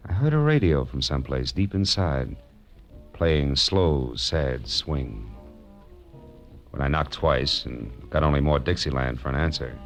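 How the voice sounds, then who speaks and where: An actor playing a wry, world-weary private detective in a 1940s radio drama, dry, hard-boiled and wisecracking. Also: male voice, very deep voice. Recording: dull vintage radio recording with music.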